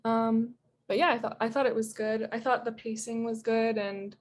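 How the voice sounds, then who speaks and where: A woman's voice: on the high side, little pitch movement, normal speed, slight background noise. A woman giving casual, unscripted feedback on a video call in a tentative, hedging way. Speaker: participant giving script feedback.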